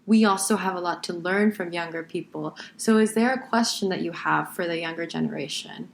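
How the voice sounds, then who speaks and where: A woman's voice: fairly low pitch, fairly quick, gravelly, slight background noise. A woman hosting a podcast, asking questions and encouraging her guest, with fillers like sort of and um. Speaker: podcast host. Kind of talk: interviewer and outro narration.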